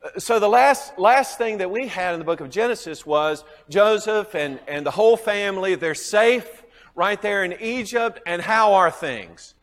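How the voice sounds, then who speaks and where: A man's voice: sits high, moderate pace, fairly bright tone, big-room sound. A man with a Southern US accent teaching a Bible class, chuckling often and quizzing his students.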